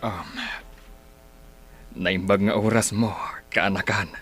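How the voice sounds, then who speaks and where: A man's voice: lowish voice, steady tone, slow pace, a bit bright, soft background music. A man acting the part of a humble, remorseful older uncle in a radio drama. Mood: hesitant, humble.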